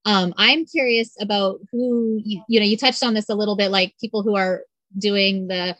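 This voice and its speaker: A chatty woman hosts a podcast interview, her questions wandering and loose with easy laughter. Her voice has an ordinary pitch for a woman and sounds dry, with no room echo. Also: steady tone, moderate pace, fairly bright tone. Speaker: podcast host. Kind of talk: casual interview chat.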